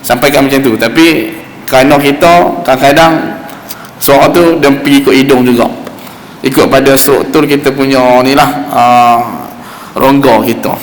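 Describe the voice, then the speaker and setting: A man with a Malaysian Malay accent teaching Quran recitation in an informal, explanatory way, slowly demonstrating sounds as he goes. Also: mid-range voice, steady tone. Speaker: religious teacher giving Quran recitation class. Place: mosque hall. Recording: roomy echoing hall, faint background noise.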